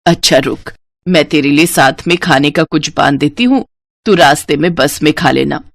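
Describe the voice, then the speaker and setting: A woman's voice: deep voice, moderate pace, creaky, dry recording, studio quality, voice pushed down. A woman voicing a loving, worried, poor village mother in a story. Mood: caring, wistful.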